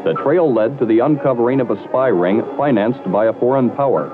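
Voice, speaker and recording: A man reading scripted narration for a 1960s training film in a formal, measured, slightly wry manner. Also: medium pitch, over loud music. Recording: muffled old 1960s film soundtrack.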